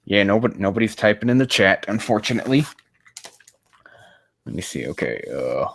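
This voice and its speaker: A man's voice: lowish voice, big pitch swings, fairly quick, a bit bright, slightly rough, no room sound. A man on a casual livestream reading fan fiction aloud, breaking off into rambling, profane, exasperated commentary.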